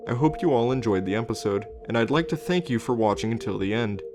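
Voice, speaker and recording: A man's voice: mid-range voice, lively intonation, quick pace. Man narrating a documentary over music. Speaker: host and narrator. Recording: music under the voice. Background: background music.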